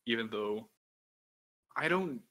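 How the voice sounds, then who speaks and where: A young man with a deep voice and a bright, forceful edge, hosting a casual podcast. He rambles loosely, filling his talk with "like" and the occasional curse. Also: animated, slow. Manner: rambling, peppered with "like" and swearing. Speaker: podcast host. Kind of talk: casual chat.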